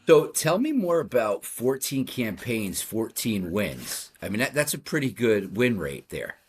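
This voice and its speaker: A man with a somewhat low pitch and a husky, breathy-edged tone. He speaks conversationally as the host of a podcast, putting questions to a guest. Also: sing-song, a little slow. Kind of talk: conversational interviewing.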